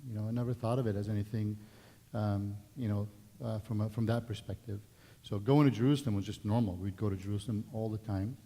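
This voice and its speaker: A middle-aged man from Palestine with a Palestinian Arabic accent, sharing his personal story in a relaxed, conversational way with many fillers and restarts during a church service. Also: on the low side, fast talker. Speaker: guest speaker. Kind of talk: personal testimony at a worship service. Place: church sanctuary. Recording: long church reverb.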